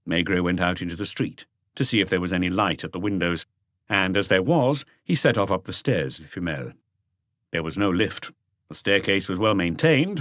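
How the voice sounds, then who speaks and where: A man reading scripted literary narration as an audiobook narrator, with a measured storytelling delivery. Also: low voice, fairly bright tone, no echo, studio quality.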